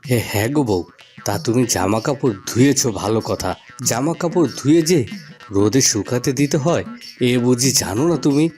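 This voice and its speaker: A man's voice: mid-range voice, lively intonation, moderate pace, darkish tone, faint music behind, close to an everyday voice. A man voicing a mild, patient young village husband in a cartoon. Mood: gently explaining. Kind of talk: Bengali cartoon voice acting.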